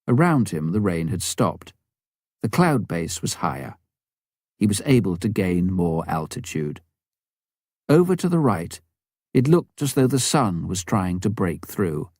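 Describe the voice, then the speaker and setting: A man narrates a story in a low, mellow voice. His delivery is measured and dramatic, with deliberate pauses, and the recording is dry and close. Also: very animated, no echo. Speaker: audiobook reader.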